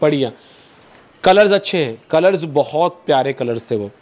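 A man in his thirties hosting a vlog, pitching clothes to viewers in quick, persuasive patter, recorded on a lapel mic in an indoor shop. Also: animated, a little mellow. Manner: fast, persuasive salesman patter addressing viewers. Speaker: vlogger host. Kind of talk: promotional market vlog.

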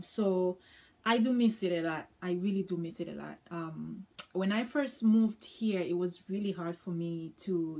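A woman's voice: medium pitch, darkish tone. A young woman from Congo, chatting casually to camera with many ums and uhs. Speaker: vlogger. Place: small indoor room with floral curtain.

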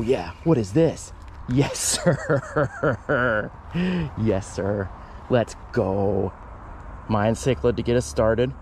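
A man vlogging while fishing outdoors by a canal, talking casually and breaking into excited exclamations. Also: mid-range voice, slight background noise. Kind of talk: casual fishing vlog narration.

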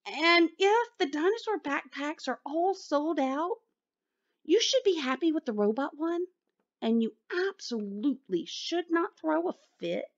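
A woman's voice: sits high, animated, measured pace, dull audio, pitched above the performer's own voice. A woman voicing a firm, questioning squirrel mother in a picture book read-aloud. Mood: pointed.

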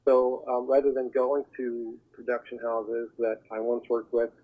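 A man speaking as a talent agent guest, giving advice in an earnest, halting, conversational way over the telephone. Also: medium pitch, little pitch movement. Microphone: telephone call. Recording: muffled narrow phone line.

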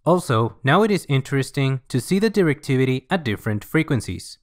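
A man reading scripted software tutorial narration in an instructional, measured way. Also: mid-range voice, sing-song.

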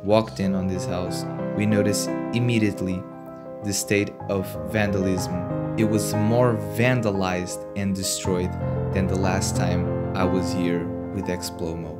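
A young man, narrating an urban-exploration vlog in an earnest, plain way, with a few halting restarts. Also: low-pitched, little pitch movement. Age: young adult. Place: indoors, in a decaying abandoned house. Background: loud guitar music.

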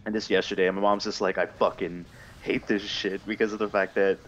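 A young man with a low voice rambles through casual gamer chat. He complains and swears freely, over dull, lo-fi voice-chat audio. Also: level tone, a little fast, a little hiss or hum. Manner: profane, griping, rambling. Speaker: gamer telling stories while playing. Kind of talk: casual chat during online gameplay.

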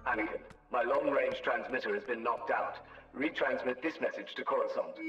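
A man's voice: medium pitch, moderate pace, lo-fi sound. A man playing a composed, formal knight sending an urgent report through a hologram radio effect in a game. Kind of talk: video game cutscene acting. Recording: echoey, distant transmission, faint music.

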